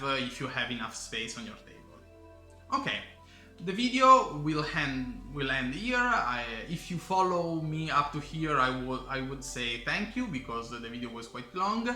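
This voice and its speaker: Italian guy going over board game rules, very bright voice, lots of uhs, roomy camera-mic sound with faint music. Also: a little high, animated. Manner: halting, many fillers and restarts. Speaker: board game channel host. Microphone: camera microphone.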